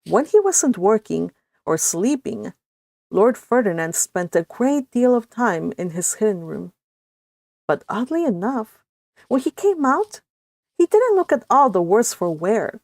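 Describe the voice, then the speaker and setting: A woman's voice: a little high, very animated, measured pace, gravelly, a little mellow, no echo, clean studio sound. Non-native woman author reading her story, then casual chatter full of laughs. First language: not English. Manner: laughs often, restarts sentences.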